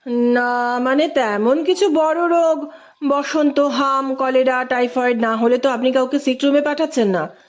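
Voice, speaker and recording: A courteous man who teaches at a college , acted out by a woman storyteller. He talks mildly and with mock innocence, gently teasing his listener in a storybook romance. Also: high voice, unhurried. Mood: feigned surprise. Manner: mild, feigned innocence, teasing. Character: polite, teasing male Sanskrit and Bengali college professor.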